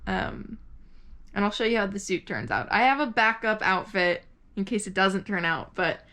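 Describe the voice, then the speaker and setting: A woman's voice: mid-range voice, animated, moderate pace, pushed and bright. A woman talking casually and informally in a vlog, loosely rambling as she shares updates as an emerging playwright. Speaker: vlogger and early-career musical theatre playwright.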